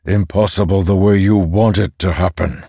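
A man's voice: sits low, fairly even intonation, moderate pace, vocal fry, dark tone, breathy edge, no room sound, studio quality, full character voice. A man voicing an ancient godlike storm spirit in a fantasy audiobook, stern, imperious and accusatory. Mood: stern refusal. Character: thundering, ancient, godlike storm spirit, male, domineering and disapproving. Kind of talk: dramatic fantasy audiobook character.

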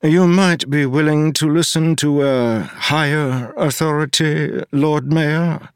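A man's voice: on the high side, fairly even intonation, takes it slowly, clear, resonant tone, dry recording, studio quality, voice pushed up. A man voicing a formal, polite male emissary who is not quite human. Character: eerie male shapeshifting emissary of a god.

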